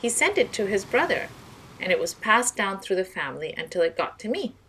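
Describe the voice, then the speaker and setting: A woman's voice: medium pitch, sing-song, a little fast, fairly bright tone, husky, full character voice, poor audio. A woman voicing a hearty, proud male sea captain in a storybook, explaining things with emphasis. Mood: informative.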